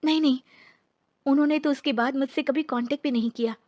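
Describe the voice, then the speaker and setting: Woman playing high-voiced, wronged divorcee. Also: fast, a little mellow, dull audio, lightly acted. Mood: aggrieved.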